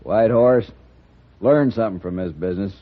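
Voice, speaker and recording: An actor playing a bitter, bigoted cattleman in a 1950s radio Western, gruff, blunt and sneering. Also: on the low side, moderate pace, close to an everyday voice. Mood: scornful. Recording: muffled, noisy old-time radio recording.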